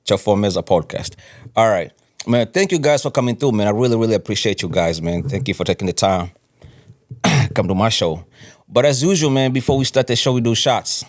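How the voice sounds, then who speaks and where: A man's voice: lowish voice, a little fast. A man hosting a podcast in a loose, slangy way, repeating himself and often saying "man". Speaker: podcast host. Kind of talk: casual podcast chat.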